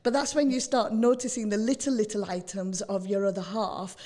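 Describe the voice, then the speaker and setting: A woman hosting a radio interview, chatty and candid, with a naturally loud voice. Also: mid-range voice, steady tone, normal speed. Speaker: radio host. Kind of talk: casual radio interview chat.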